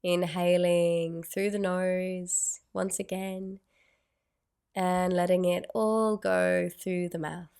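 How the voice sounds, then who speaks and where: Slow, creaky woman guiding meditation. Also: on the low side, little pitch movement. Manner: soothing, unhurried, gentle phrasing with long pauses. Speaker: meditation guide. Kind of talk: guided meditation narration.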